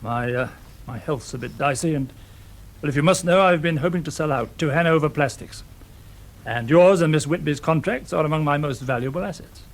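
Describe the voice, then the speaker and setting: Man playing ailing businessman, British accent, higher male pitch, formal, hesitant, radio drama. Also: brisk, natural voice. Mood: firm, regretful. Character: ailing businessman, factory owner, weary but firm.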